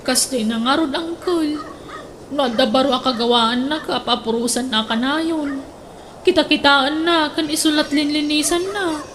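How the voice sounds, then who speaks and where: A woman's voice: high-pitched, fairly bright tone, a little hiss or hum. A woman with an Ilocano accent playing an exasperated young wife in a radio drama, with a complaining, pleading tone.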